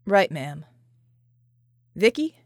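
A woman's voice: on the low side, big pitch swings, drawn-out words, no room sound, pitched below the performer's own voice, full character voice. A woman voicing a laconic, wry soldier man in an audiobook, polite and easygoing with a dry, clipped manner. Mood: sincere, grateful. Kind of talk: audiobook character dialogue.

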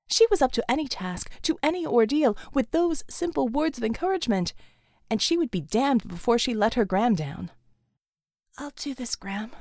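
A woman's voice: fairly high pitch, dry recording, studio quality. A woman reading dramatic action fiction as a scripted audiobook narration.

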